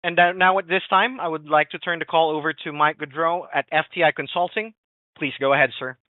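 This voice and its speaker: A man's voice: fairly high pitch, steady tone, a little fast, dry recording. A man working as a conference call operator, making a formal, polite scripted announcement. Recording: dry, tinny phone line.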